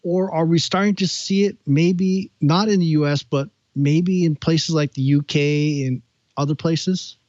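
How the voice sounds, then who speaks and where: A man hosting a talk, with a somewhat high voice, tells a story and then puts questions to his guest. The sound is dry and dull, like a lo-fi call recording. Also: steady tone, unhurried, a little mellow, no echo. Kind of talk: conversational questioning.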